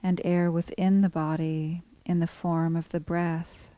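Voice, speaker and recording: Slow, low-pitched woman guiding meditation. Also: no room sound. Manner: calm, unhurried, gently measured phrasing with pauses. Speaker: meditation teacher guiding a body scan. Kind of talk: guided meditation, scripted instruction.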